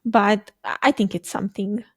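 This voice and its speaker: A woman's voice: mid-range voice, fairly even intonation, moderate pace, no room sound. Young Romanian woman, dry close sound. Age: young adult. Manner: chatty, laughs often, hesitant fillers. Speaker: guest, quantum computing researcher. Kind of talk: casual interview conversation. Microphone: video call microphone.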